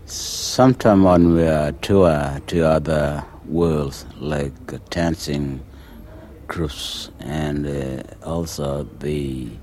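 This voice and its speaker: A man giving hesitant interview answers, pausing often with fillers. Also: very deep voice, a little mellow, a little hiss or hum. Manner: hesitant, many pauses and fillers. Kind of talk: halting interview answers in English.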